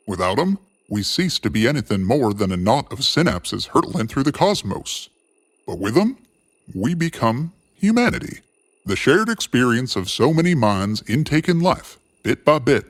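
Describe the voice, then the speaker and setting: A man narrating a scripted story theatrically, with ornate phrasing and dramatic, drawn-out pauses. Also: mid-range voice, no room sound, clean studio sound. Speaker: storyteller and host. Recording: clear music bed behind narration. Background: music.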